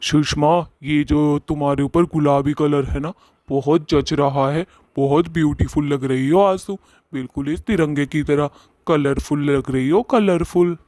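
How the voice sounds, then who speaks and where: A man's voice: fairly high pitch, unhurried, darkish tone, no echo, studio quality, natural voice. A man voicing a bossy, boastful male ghost in a Hindi cartoon story, with a swaggering, colloquial way of talking. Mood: flirtatious. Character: bossy, boastful male ghost who bullies villagers and flatters his sweetheart.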